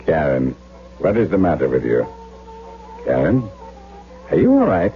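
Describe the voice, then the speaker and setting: Very deep-voiced young German doctor. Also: male voice, huge pitch swings, measured pace, full character voice. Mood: concerned. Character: earnest young male German doctor and diary keeper. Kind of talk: 1970s radio drama acting. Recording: thin phone-like vintage radio recording, faint music.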